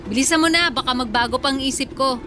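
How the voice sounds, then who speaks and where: An actress playing a tough, frank female tricycle driver, guarded in love, with brisk plain-spoken banter in a radio drama. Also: high-pitched, noisy background, natural voice. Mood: brisk, bossy. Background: music.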